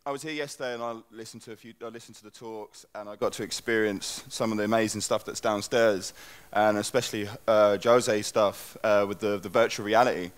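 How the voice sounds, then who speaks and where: A man's voice: lowish voice, steady tone, fairly quick, smooth. A young man gives a loose, chatty talk on a conference stage through a headset mic, full of restarts. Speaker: conference speaker.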